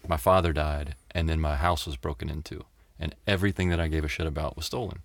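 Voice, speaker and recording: Middle-aged American man, low register, dry wit, relaxed conversational storytelling as a guest. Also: fast, creaky, no echo. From Tennessee and Mississippi, United States. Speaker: interview guest.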